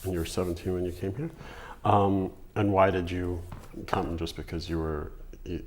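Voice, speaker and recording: A man interviewing a guest for an oral history project, asking gentle, hesitant questions. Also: deep voice, level tone, moderate pace, slight background noise. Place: community center room.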